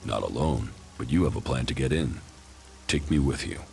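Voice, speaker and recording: Game commander: rock-bottom gravelly register, stoic, terse, deadpan. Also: male voice, a bass voice, moderate pace, soft background music. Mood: insistent. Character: grim, stoic male commander, a soldier turned outcast. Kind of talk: video game voice acting.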